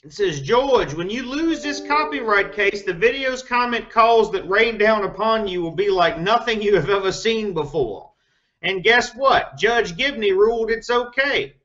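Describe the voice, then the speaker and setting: A man's voice: sits high, lively intonation, unhurried, sharp tone, lo-fi sound. A man with a mocking manner gives an informal dramatic reading of online comments.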